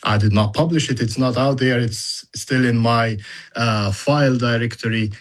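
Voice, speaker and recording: A man who speaks English as a second language, explaining things as an educator in a measured interview conversation, using examples. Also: medium pitch. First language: not English. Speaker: university educator in data analytics.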